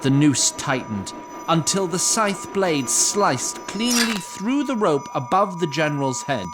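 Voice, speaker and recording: A man narrating a fantasy audio drama in a deliberate, solemn, scripted storytelling style. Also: on the high side, big pitch swings. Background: music.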